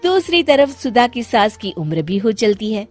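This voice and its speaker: A woman's voice: on the high side, big pitch swings, moderate pace, dry recording, faint music behind. A woman narrating a scripted moral story for an animation as storyteller.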